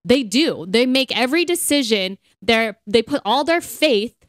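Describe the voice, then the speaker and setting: A woman's voice: on the high side, expressive, measured pace, dry recording, studio quality. An American woman co-hosting a podcast, talking casually and emphatically, with "like" sprinkled all through her speech. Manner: chatty, emphatic, heavy use of "like". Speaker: podcast co-host. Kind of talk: casual conversational podcast talk.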